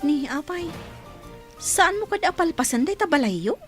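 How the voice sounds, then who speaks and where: An actress plays an inquisitive, persuasive friend in a Filipino audio drama. Her high voice has a Filipino accent. Faint music sits underneath. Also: sing-song, measured pace, fairly bright tone, heavily acted. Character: inquisitive city friend recruiting for a restaurant job. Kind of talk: Ilocano audio drama acting.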